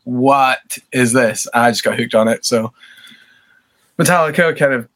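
A man in his thirties from Scotland with a Scottish accent, chatting relaxed and self-deprecating as a podcast guest. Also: medium pitch, moderate pace. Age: 30s. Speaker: podcast guest, band bassist. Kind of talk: casual interview chat.

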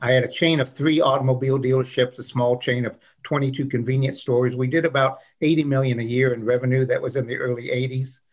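A man's voice: medium pitch, little pitch movement, fast talker, hardly any pauses, dull audio. A man in his sixties, a guest on an interview show, telling his life story in a genial, folksy way. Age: 60s. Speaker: guest, investor.

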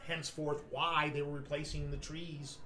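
A man in his fifties, a podcast guest who owns a coffee business, telling rambling anecdotes in a loud, carrying voice. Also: fairly high pitch, level tone, moderate pace. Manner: loud voice that carries; rambling anecdotes with many restarts. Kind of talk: casual storytelling among friends. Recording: other men's chatter and laughter around him.

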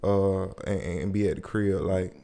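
A young man with a Southern US accent hosting a relaxed podcast. His voice sits fairly low, and he talks in loose slang with frequent restarts. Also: vocal fry, smooth. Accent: Southern US, Atlanta area. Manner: laid-back slang, halting restarts. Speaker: podcast host. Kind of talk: casual chat.

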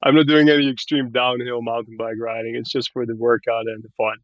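A man's voice: mid-range voice, level tone, brisk, no room sound, highs cut off. A man from Barbados chatting casually as a podcast guest, explaining things in an articulate way. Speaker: podcast guest, tech growth manager. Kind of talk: casual interview chat.

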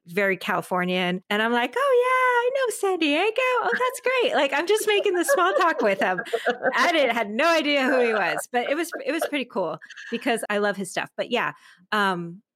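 A woman's voice: high voice, moderate pace, no echo. Middle-aged woman chatting casually, dry close sound. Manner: animated storytelling, acting out quoted remarks; informal, peppered with "like". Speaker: podcast guest. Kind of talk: casual chat, personal anecdotes.

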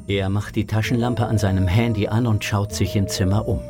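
A man narrating a scripted children's audio drama in a measured, suspenseful way. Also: lowish voice, fairly even intonation, over music.

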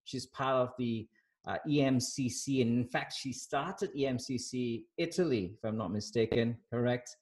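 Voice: medium pitch, moderate pace, darkish tone. A show host chatting casually and upbeat, joking and exclaiming in reaction to the guest. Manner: jokey, laughs often, exclaims reactions. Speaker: host of a coaching interview show. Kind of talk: casual upbeat interview chat.

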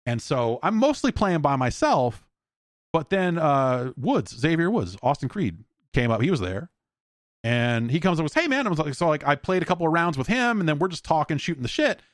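A man's voice: on the high side, big pitch swings, normal speed, no room sound, studio quality. A man hosting a podcast, rambling through anecdotes in a blunt, informal, sweary way. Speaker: podcast host. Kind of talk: casual rambling solo talk with anecdotes.

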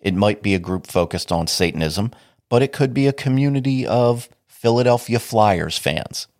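A man's voice: fairly low pitch, animated, normal speed, a little mellow, dry recording, studio quality. A man hosting a podcast alone, talking casually and wryly, a bit sniffly from allergies. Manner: wry, casual and informal. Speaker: podcast host. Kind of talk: solo podcast monologue.

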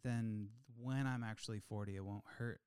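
A man in his late thirties co-hosting a podcast in casual chat, telling stories in an animated, insistent way. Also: fairly low pitch, moderate pace, clean tone, no room sound, clean studio sound. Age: 30s. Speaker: podcast co-host.

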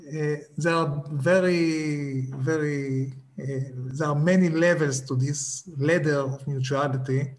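A man gives a reflective talk in a fairly high voice. He speaks slowly and haltingly, restarting phrases as he searches for the right English words. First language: Hebrew.

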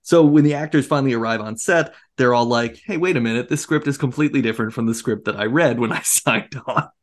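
A man with a mid-pitched voice talks as a podcast co-host in a casual film discussion. He is animated and wry, slipping into mock quotes and sarcastic asides as he retells things. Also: fast talker.

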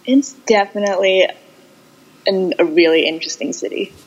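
A young American woman speaking as a podcast guest, reflective and halting as she talks about herself in casual conversation. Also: medium pitch, breathy edge, highs cut off. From United States, Boston and Houston.

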